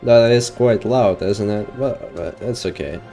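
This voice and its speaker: A man's voice: lowish voice, a little slow, dark tone. A man, a native Russian speaker, giving casual, hesitant, muttered commentary as he streams a video game. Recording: faint game music behind.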